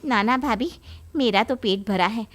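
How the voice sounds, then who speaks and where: Woman acting sweet, high-pitched young family member. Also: a little slow, close to an everyday voice. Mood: polite, wary. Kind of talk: animated comedy story acting.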